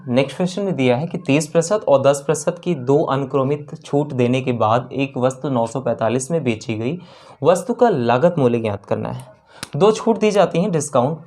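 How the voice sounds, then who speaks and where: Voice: mid-range voice, darkish tone. A maths teacher working through exam practice problems step by step, repeating numbers and checking in with the listener as they go. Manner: repeats numbers and keeps checking in with "theek hai"; mixes English terms into the explanation.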